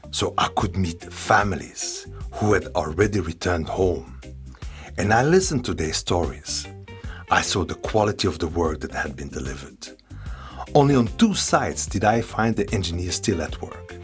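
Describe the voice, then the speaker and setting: A man narrating a documentary in a measured, reflective scripted way. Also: low-pitched.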